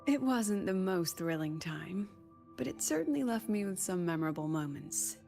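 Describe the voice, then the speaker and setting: A tired, wry woman who runs an academy, speaks in a mid-pitched voice with plenty of vocal fry. She is chatty and slyly coy, in the manner of video game dialogue. Light music plays behind her. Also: lively intonation, warm tone. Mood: relaxed, wistful. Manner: sighing, wry, conversational with coy pauses. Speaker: academy president. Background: background music.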